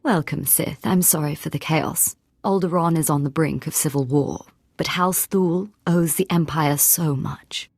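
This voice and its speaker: Low, poised young noblewoman. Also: unhurried, hardly any pauses, darkish tone, breathy edge, no room sound, clean studio sound, heavily acted. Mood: welcoming, composed. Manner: formal, stately, composed. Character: young noblewoman house leader, poised and diplomatic. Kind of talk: scripted video game dialogue.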